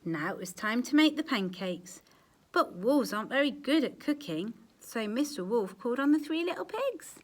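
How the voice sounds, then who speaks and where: Woman reading a kids story out loud, super expressive n dramatic, voice goes up and down loads. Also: a little high, moderate pace. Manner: animated, dramatic emphasis, quiet asides to young listeners. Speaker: storyteller, teacher. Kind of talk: expressive children's storybook read-aloud.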